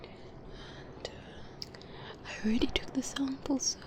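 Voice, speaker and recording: Eerie doctor female performer, softly sinister and courteous. Breathy ASMR whisper, insinuating, hesitant, extremely unhurried. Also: high-pitched, steady tone. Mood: sly, reassuring. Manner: hesitant, insinuating, murmuring with many hums. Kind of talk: ASMR roleplay.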